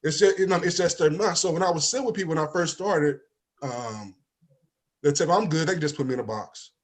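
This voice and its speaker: Man in his 40s, slightly raised pitch, animated talk-show guest who acts out his stories. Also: fairly quick. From East Saint Louis, Illinois, USA. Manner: animated storyteller, acts out quoted dialogue, often adds "you know what I mean". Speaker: guest, life insurance agent. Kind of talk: casual talk-show conversation.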